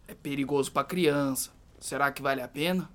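A man's voice: mid-range voice, steady tone, a little fast, a bit bright, natural voice. An actor playing a scrawny, cautious enslaved man in a radio drama, speaking in a colloquial, wary way.

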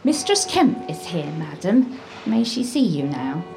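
A woman's voice: fairly low pitch, sing-song, measured pace, creaky, music in the background, exaggerated voice. A woman acting in an audio drama as a polite, attentive servant with a deferential manner.

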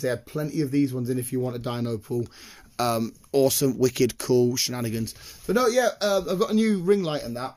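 A British man chatting casually in a rambling, excitable, sweary way, with a slightly croaky voice. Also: on the high side. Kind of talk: casual chat, rambling anecdotes.

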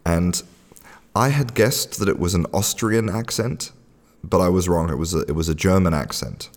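Deep-voiced man telling a chatty story. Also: normal speed, in a large hall. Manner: chatty storyteller, many restarts and fillers. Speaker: podcast host. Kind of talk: casual spoken podcast monologue.

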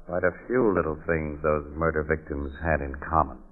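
An actor playing a tough, shrewd male crime photographer, brisk and slangy, in an old radio drama. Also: extremely low pitch, fairly even intonation. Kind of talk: 1940s radio drama acting. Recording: tinny, band-limited old radio recording.

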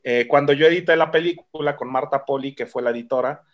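A man's voice: medium pitch, fast. A Mexican man, a film director, chatting casually in an interview, with many fillers and restarts.

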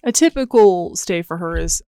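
A woman with a fairly low voice chats casually as a mother. She speaks calmly and evenly, teasing a little and laughing softly. The sound is dry and close to the mic. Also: moderate pace, smooth, dry recording. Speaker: mother, co-host. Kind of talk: casual chat.

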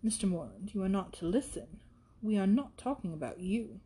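A woman's voice: mid-range voice, very animated, brisk, dark tone, husky. A woman voicing a gushing, flighty young woman in a period storybook, coquettish, exclamatory and affected as she scolds. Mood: teasing.

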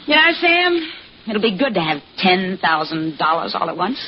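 An actress playing a scheming, hard-boiled mistress in an old-time radio crime drama, insistent, domineering and coolly manipulative. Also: female voice, mid-range voice, very animated, normal speed, lightly acted. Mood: coaxing, calculating. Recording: dull, noisy vintage radio recording.

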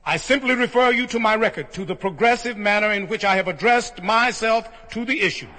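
A polished, self-assured politician delivers grand, formal oratory in a radio play. His voice is high-pitched and bright with a forceful edge. It rings out with long hall reverb. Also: brisk. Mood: confident oratory. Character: confident, polished politician orating to party delegates. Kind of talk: convention speech in radio drama.

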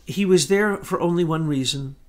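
A middle-aged man co-hosting a political commentary talk, talking fast and insistently. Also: on the high side. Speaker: co-host.

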